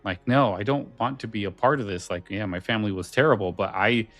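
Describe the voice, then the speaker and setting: A man co-hosting a podcast, talking casually and haltingly, repeating phrases as he thinks. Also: on the low side, a bit bright, faint music behind. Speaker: podcast co-host.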